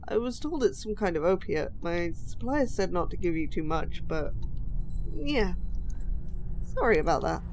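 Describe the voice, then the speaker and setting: A woman acting an apologetic, lovesick kidnapper in an audio roleplay, speaking to the listener in a halting, flustered way. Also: fairly low pitch, very animated, a little mellow, slight background noise, poor audio. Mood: awkward, apologetic.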